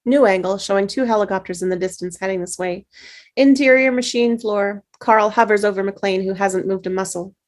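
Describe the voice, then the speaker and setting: A woman reading the stage directions at a script table read, steady with small slips. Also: medium pitch, brisk.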